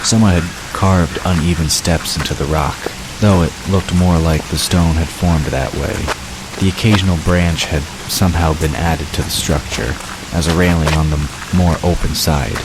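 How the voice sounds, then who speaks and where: A man's voice: a bass voice, fairly even intonation, normal speed. A man reading scripted story narration for an audio drama, with watery ambience behind him. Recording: constant rain-like hiss behind voice.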